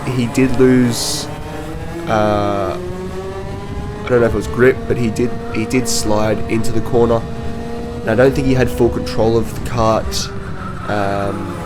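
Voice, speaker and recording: A man with a slightly hoarse, worn voice casually talks through footage of his kart race, rambling with lots of ums and restarts. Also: medium pitch, slow, music in the background. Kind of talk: casual commentary over race video.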